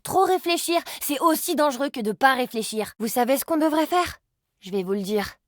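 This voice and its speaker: Casting: playful, sarcastic young immortal game heroine; lively swooping pitch, casual youthful slang, dry studio sound. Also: female voice, fairly quick, no room sound. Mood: playful, teasing. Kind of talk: video game character voice lines.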